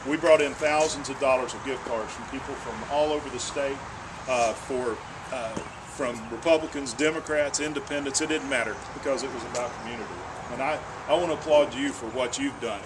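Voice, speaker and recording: A man's voice: medium pitch, brisk, noisy background. A man, a state senator giving an earnest town hall speech and answering questions, often repeating words as he restarts.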